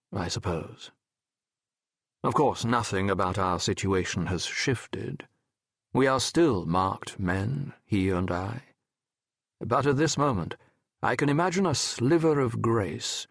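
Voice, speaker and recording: A man narrating an audiobook as a wry, reflective French medical professor telling his memoir in a measured, erudite, wistful way. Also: medium pitch, vocal fry, no room sound, clean studio sound. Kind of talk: scripted first-person literary narration.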